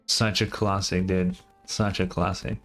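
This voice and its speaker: A man's voice: sits low, flat delivery, measured pace, music in the background. A man giving casual, incredulous, sweary reaction commentary as a game streamer.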